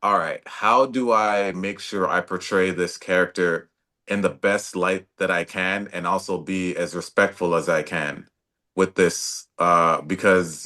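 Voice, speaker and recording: A young actor with a very low voice talks easily about his work. He sounds laid-back and casual, with plenty of fillers and restarts. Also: pushed and bright. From Toronto, Canada. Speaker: actor guest. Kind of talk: casual interview talk.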